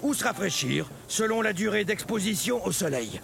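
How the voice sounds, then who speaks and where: A hearty stegosaurus coach commands the troops in an educational cartoon for children. The voice is heavily gravelled, raised in pitch and very animated, proud and bossy, with music behind it. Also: male voice, moderate pace, heavily acted. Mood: commanding, proud. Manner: barking orders like a coach. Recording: music under the voice.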